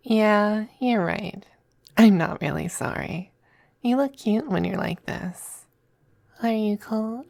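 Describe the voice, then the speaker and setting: A performer acting a smug, affectionate demon femboy in an intimate ASMR roleplay, teasing and coy, with breathy turns into whispers. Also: medium pitch, slow pace, long pauses, darkish tone, dry recording, studio quality. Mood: teasing, amused.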